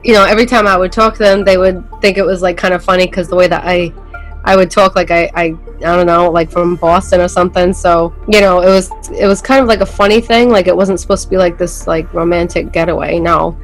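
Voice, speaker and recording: A woman with a New England accent tells her side of things as a podcast guest, her low-set voice looping through fillers and half-finished restarts. She sounds casual and unscripted, and faint music plays quietly behind her. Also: fairly even intonation, fairly quick, rough recording. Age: adult with school-age kids. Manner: rambling, heavy on fillers and restarts. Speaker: interview guest. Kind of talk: casual storytelling in a podcast interview.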